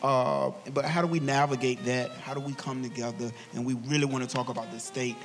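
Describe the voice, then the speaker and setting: Male podcast host, animated, faint music underneath. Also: medium pitch, a little fast. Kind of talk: live panel moderation. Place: theatre stage.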